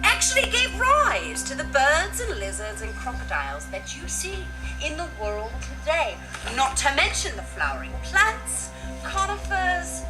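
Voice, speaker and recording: A woman presents a playful mock documentary with theatrical exclamations. Her voice is high and very animated, taken at an unhurried pace. Music plays clearly behind her. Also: poor audio. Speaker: presenter of a student educational newsreel.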